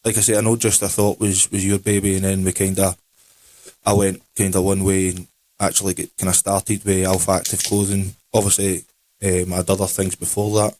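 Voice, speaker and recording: A Scottish man in his late twenties co-hosting a podcast in a relaxed, rambling chat. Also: sits low, a little fast. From Scotland. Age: 20s. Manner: relaxed, rambling, with Scottish words like aye and wee. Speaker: podcast co-host. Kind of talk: casual chat.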